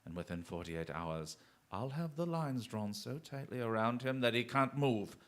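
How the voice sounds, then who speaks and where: A man performs a brilliant, restless gentleman detective for a radio drama. His medium-pitched voice is grandly theatrical and eloquent, with the quick wit of someone who is always a step ahead. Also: lively intonation, close to an everyday voice. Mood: serious, measured.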